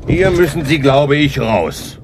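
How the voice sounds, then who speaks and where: Heavy road noise runs behind a wary driver in a radio play. His fairly high voice moves animatedly up and down. He sounds brusque, exasperated and drily matter-of-fact. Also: sing-song, unhurried. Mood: exasperated, dry. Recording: loud car and street noise behind.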